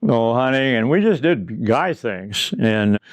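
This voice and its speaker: Old guy telling stories, rambles and chuckles, middle pitch, dry close sound. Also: lively intonation, unhurried, no room sound. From Pine Ridge, South Dakota. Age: older. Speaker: interview guest. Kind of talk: reminiscing in a recorded conversation.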